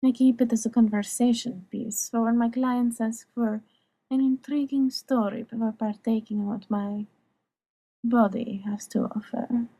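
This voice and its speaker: A woman playing an alluring, worldly courtesan who tells tales in a soft, measured, theatrical way for an audiobook drama. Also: fairly high pitch, steady tone, husky, dry recording, rough recording. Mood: coy, seductive.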